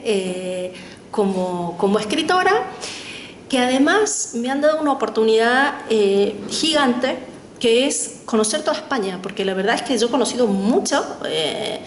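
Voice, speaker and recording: A middle-aged woman with an Argentine accent speaks as a panel guest writer, thoughtful, pausing with fillers and tag questions. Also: on the high side. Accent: Argentine Spanish. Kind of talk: reflective talk at a literary panel. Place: lecture hall. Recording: echoey room with faint noise.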